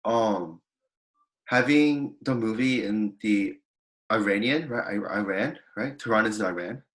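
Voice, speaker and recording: A man's voice: fairly low pitch, measured pace, fairly bright tone, low-quality recording. A man casually discussing a film with a friend, agreeable and tentative. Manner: agreeable, short backchannels. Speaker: co-host reviewing a film. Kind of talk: casual movie review chat. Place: car.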